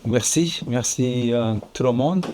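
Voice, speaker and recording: A man's voice: medium pitch, takes it slowly, darkish tone, a little hiss or hum. A man from Rwanda, a researcher speaking on a panel, hesitant with many fillers and restarts. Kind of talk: panel talk. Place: conference room with audience.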